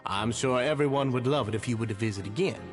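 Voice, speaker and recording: A man's voice: medium pitch, fast talker, close to an everyday voice. An actor playing a formal, courteous adult male society leader in a video game, speaking graciously. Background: game music.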